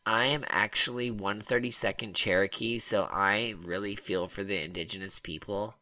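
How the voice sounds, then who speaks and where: A man chats on a comedy podcast in crude, loose banter and runs with silly ideas. His voice sits slightly low but comes across sharp and forceful. The sound is close and dry, with no room around it. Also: fairly even intonation, normal speed, dry recording, low-quality recording. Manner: crude, joking, riffs on absurd ideas. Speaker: podcast co-host.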